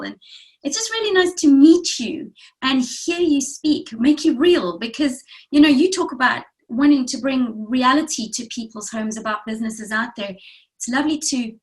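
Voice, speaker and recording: A woman's voice: a little high, poor audio. A woman from Africa, hosting an interview and often saying "you know". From South Africa. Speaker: interview host.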